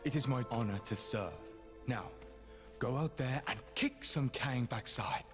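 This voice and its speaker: An actor playing a loyal male manservant to a sorcerer, deadpan and politely sarcastic, in a game cutscene. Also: medium pitch, unhurried, big-room sound, music in the background, close to an everyday voice. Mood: dry. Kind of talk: video game cutscene acting.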